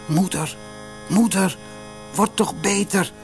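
A man's voice: sits high, slow pace, vocal fry, soft background music, pitched above the performer's own voice, heavily acted. A man voicing an earnest, brave, tender-hearted little boy in a fairy tale audiobook. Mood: pleading. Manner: pleading, earnest.

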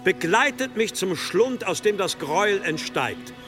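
An actor playing a solemn abbot in an audio drama, formal and preacherly, pleading for trust. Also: male voice, high-pitched, lively intonation, measured pace, over music. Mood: solemn preaching. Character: solemn monastery abbot preaching to hostile villagers.

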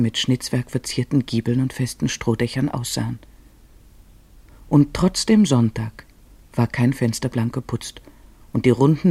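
A man's voice: mid-range voice, vocal fry, mellow, slightly rough, no room sound. A man narrating a literary radio play in a scripted storytelling style.

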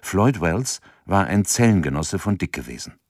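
A man narrating a radio drama in a measured, sober, scripted documentary style. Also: low-pitched, sing-song, a little mellow, no room sound, studio quality.

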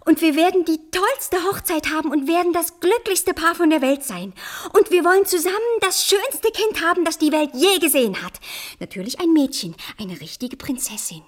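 A woman's voice: extremely high pitch, lively intonation, moderate pace, few pauses. A woman playing a vain, bossy and dreamy young queen in a theatrical fairy-tale radio play. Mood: confiding, dreamy. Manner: haughty, imperious, dramatic.

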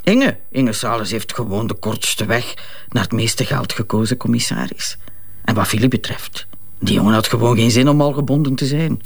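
A blunt, worn-out Flemish wife. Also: female voice, rumbling low, moderate pace, creaky, exaggerated voice. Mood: scornful. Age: middle-aged. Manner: frank, sardonic, unabashed. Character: blunt, cynical, worn-out betrayed wife, middle-aged. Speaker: actor. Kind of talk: audio drama dialogue.

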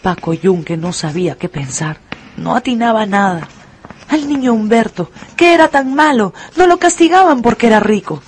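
A woman narrating a dramatised radio story in an expressive, deliberate, emphatic way. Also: on the high side, a little hiss or hum.

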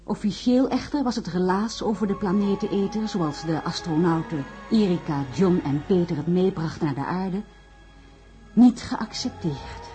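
A woman's voice: mid-range voice, mellow, natural voice. An actress playing an earnest, worried woman who narrates and speaks in a Dutch science-fiction radio drama. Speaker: narrator and lead character in a radio play.

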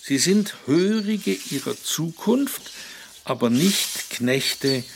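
A solemn old philosopher in a radio play, speaking extremely slowly and oracularly. The voice creaks and swings in pitch, with outdoor birdsong and ambience around it. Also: male voice, animated, slight background noise, full character voice. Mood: solemn, ponderous. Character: ponderous elderly male philosopher declaiming beside his hut.

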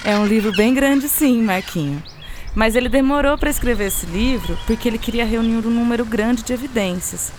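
A fairly high female voice with a Brazilian accent, an actress playing a friendly guide in a radio drama. She explains patiently and teasingly, as if chatting with young friends. Faint ambient noise sits behind her. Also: normal speed, darkish tone, close to an everyday voice. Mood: patient explaining. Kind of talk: conversational radio drama dialogue.

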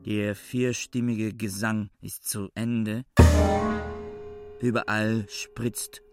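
An actor playing an obstinate, morbid man in a radio play, with a measured, grimly emphatic manner. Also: lowish voice, flat delivery. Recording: loud music under the voice.

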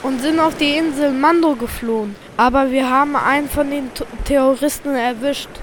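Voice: sits high, fairly even intonation, moderate pace, a bit bright. A child of about eight or nine playing a dutiful ship's captain in an amateur school radio play. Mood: firm. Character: dutiful ship captain giving orders to sailors. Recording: clear background noise, amateur recording.

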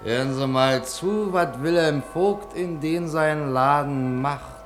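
A male storyteller in a reverberant old studio, music playing behind him. His fairly high voice delivers scripted 1950s radio narration straight to the listener. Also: slow pace. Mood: narrating. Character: male storyteller linking scenes, addressing listeners.

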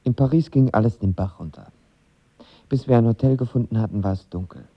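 A man's voice: mid-range voice, brisk, breathy edge, dull audio, natural voice. An actor playing a lovestruck, insecure young actor who tells of his first love in a radio drama, intimate and colloquial. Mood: wistful narration. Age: young adult. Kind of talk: radio drama acting and first-person narration.

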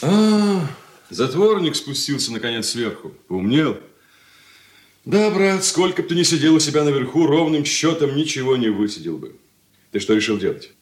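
An actor playing a sardonic, condescending elder brother in a Soviet radio drama, mocking and patronizing in manner. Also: male voice, a little high, very animated, normal speed, roomy sound.

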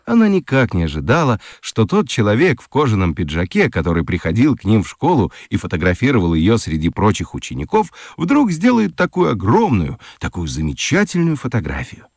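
A man narrating a children's radio play in an expressive storytelling manner. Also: fairly low pitch, a little fast, few pauses, no echo, studio quality.

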